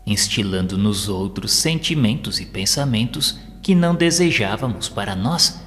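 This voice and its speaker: Deep-voiced man narrating in long reverb. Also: expressive, fairly bright tone. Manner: measured, formal, sermon-like oratory. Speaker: audiobook narrator. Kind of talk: solemn scripted narration of a spiritist audio novel. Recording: long reverb with music underneath. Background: music.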